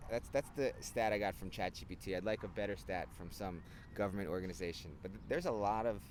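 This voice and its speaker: Low-voiced American man on a city street. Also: fast. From New Jersey, United States. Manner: relaxed, musing, many "like" asides. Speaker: vlog host. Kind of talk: casual chat. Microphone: handheld camera at arm's length. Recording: outdoor city traffic noise.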